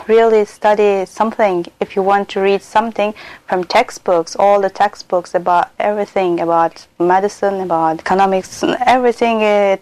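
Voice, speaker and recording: A young woman from Turkey, a native Turkish speaker with a Turkish accent, talking unscripted about herself as a doctor and doctoral student for a listening exercise, pausing often and filling gaps with "uh". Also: medium pitch, darkish tone, poor audio. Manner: hesitant, frequent pauses and "uh" fillers.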